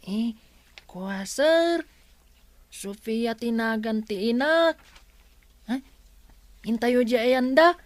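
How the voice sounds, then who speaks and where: A woman's voice: a little high, lively intonation, slow, long pauses, no echo. A woman voicing an innocent, chatty little boy in an Ilocano radio drama, with an Ilocano accent. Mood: innocent, curious.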